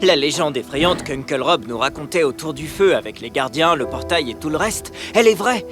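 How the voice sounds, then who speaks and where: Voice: medium pitch, expressive, fairly quick, close to an everyday voice. Thoughtful, inquisitive cartoon boy, played by an actor. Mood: wondering. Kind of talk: animated cartoon voice acting. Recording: loud background music.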